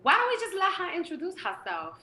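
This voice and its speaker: Bright, excitable woman podcast host. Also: very high voice, quick pace, reverberant, slight background noise. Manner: excitable, playful. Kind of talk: casual chat.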